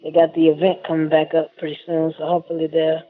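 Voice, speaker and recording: A man's voice: on the high side, monotone, fast, narrow, tinny audio. A man chatting casually as a radio interview guest, laid-back and slangy. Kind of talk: casual chat.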